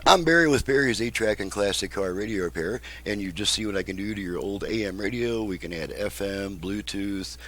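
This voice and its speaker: A man's voice: on the low side, fairly quick, few pauses, fairly bright tone. A middle-aged or older man giving a casual, methodical step-by-step demonstration as a repair technician. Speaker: car radio repair technician and presenter. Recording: noisy home recording with hum.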